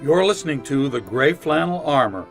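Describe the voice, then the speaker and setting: A man's voice: fairly high pitch, big pitch swings, normal speed, soft background music. A man serving as program announcer, reading scripted introductions in old-time radio style.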